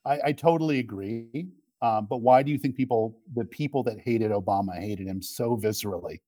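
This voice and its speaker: Mid-pitched man in a dry recording. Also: fairly quick, mellow, no echo. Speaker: podcast guest, media executive. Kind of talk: casual political discussion.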